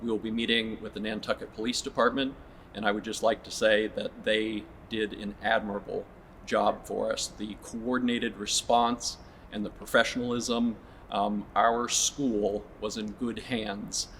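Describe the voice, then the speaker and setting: Even-toned man making a formal statement. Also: lowish voice, normal speed, slight background noise. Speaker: school administrator.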